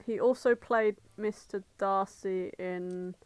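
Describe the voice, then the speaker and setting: A woman's voice: mid-range voice, steady tone, takes it slowly, clean tone, dry recording. A British woman co-hosting a casual podcast, talking in a blunt, sweary way. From Britain. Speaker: podcast co-host.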